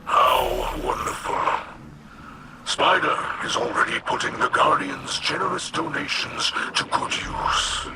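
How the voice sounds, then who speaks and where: A man voicing a wise, gentle alien leader in a video game, solemn and formal, with a breathy growl. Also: on the low side. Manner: solemn, measured, formal phrasing. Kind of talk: video game cutscene acting.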